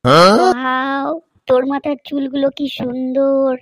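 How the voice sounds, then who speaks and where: A woman's voice: high voice, moderate pace, no echo, clean studio sound, close to an everyday voice. A woman voicing a chatty, cheeky new schoolchild in a comedy cartoon, teasing and eager. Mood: admiring, eager.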